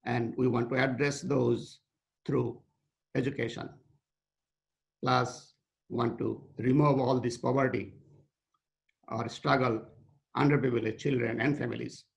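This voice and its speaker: Middle-aged guy from bangladesh walking through slides, some fry in his voice, stops and restarts a lot. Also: medium pitch, fairly even intonation. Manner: unscripted, halting, with restarts. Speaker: nonprofit co-founder presenting. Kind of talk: slide presentation.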